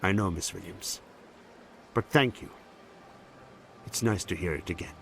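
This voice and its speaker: A grieving husband in a sci-fi game. His lowish voice is gentle, courteous and subdued, with faint ambient noise around it. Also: unhurried, vocal fry. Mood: sorrowful. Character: gentle grieving widower, soft-spoken, courteous and sorrowful. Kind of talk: video game voice acting.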